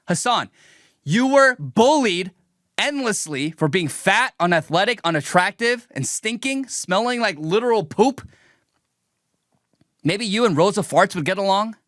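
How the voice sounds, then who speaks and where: A man's voice: high-pitched, lively intonation, measured pace, fairly bright tone, dry recording, clean studio sound. A young man streaming a mocking, combative political rant, talking emphatically as a show host. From raised in the United States. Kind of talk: livestream reaction rant.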